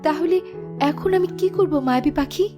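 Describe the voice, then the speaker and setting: Young fairy tale cartoon queen, played by a woman: high-pitched, naive and plaintive, soft music underneath. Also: fairly quick, natural voice. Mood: earnest, thoughtful. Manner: plaintive, earnest. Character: young princess turned queen, grieving, naive, earnest.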